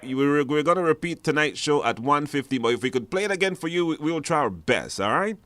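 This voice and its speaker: A man's voice: a little high, expressive, quick pace, fairly bright tone, slight background noise. A man casually hosting a live radio call-in show, chatty and encouraging, speaking in a studio.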